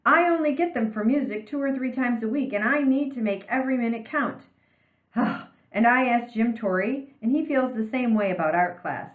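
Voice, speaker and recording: A woman's voice: fairly high pitch, moderate pace, reverberant, phone-quality sound, voice pushed up, close to an everyday voice. A woman voicing a firm, indignant female music teacher in a storybook, insistent and emphatic.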